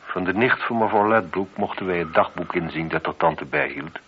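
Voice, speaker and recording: An actor playing a stern, probing police inspector in a radio play, speaking with insistent authority. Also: male voice, sits low, moderate pace. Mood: pressing, accusing. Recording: dull, noisy old radio recording.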